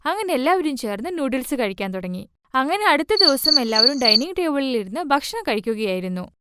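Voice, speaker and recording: High-pitched woman storyteller. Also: big pitch swings, brisk, heavy background noise. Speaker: narrator. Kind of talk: children's moral story narration.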